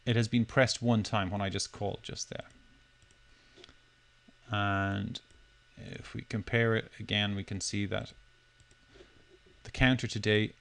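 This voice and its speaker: Man narrating a software walkthrough. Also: fairly low pitch. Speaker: software demo presenter. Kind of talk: step-by-step screencast tutorial narration.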